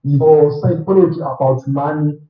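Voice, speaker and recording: A man, a finance advisor explaining his approach step by step in a measured way, with frequent filler ums. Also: on the high side, faint music behind. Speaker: personal finance advisor, guest. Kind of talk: explanatory interview answers. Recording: roomy, distant mic, dull sound.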